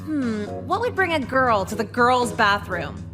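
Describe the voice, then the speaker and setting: A high-pitched, cutting teenage girl from a visual novel game. She is deadpan and sarcastic, full of contempt for those around her. Music plays clearly behind her. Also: measured pace. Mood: bored, dismissive. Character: sardonic teenage girl, contemptuous new kid at school.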